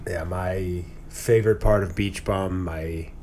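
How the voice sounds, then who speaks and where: A man's voice: low-pitched, steady tone, slow, darkish tone, a little hiss or hum. A slightly congested man co-hosting a podcast, chatting casually about film and TV in a dry, teasing manner. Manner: dry, teasing, mildly profane. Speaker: podcast co-host. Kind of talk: casual chat about movies and TV.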